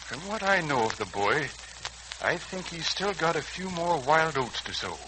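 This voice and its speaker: Fatherly senior doctor, 1940s radio serial. Measured, wry, expressive mid-range voice on a noisy old recording. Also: on the high side. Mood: calm, wry. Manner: measured, avuncular.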